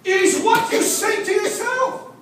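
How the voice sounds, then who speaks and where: High-voiced preacher with Afrikaans roots, echoey church. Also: male voice, measured pace, a bit bright, far from the mic, a little hiss or hum. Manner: rhythmic repetition, rising to emphatic preaching, jokes with the crowd. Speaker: visiting preacher. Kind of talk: revival sermon. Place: church sanctuary.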